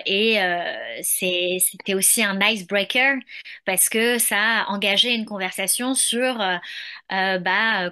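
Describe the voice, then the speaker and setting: A woman's voice: medium pitch, bright, forceful tone, clear, resonant tone. A young French woman talking in an interview, hesitant, with many fillers and restarts. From France. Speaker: podcast creator, guest. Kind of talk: casual interview conversation.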